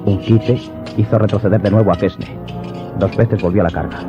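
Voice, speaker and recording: A man's voice: lowish voice, steady tone, brisk. A man reading a scripted audiobook narration as the storyteller. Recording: dull lo-fi sound, faint music behind.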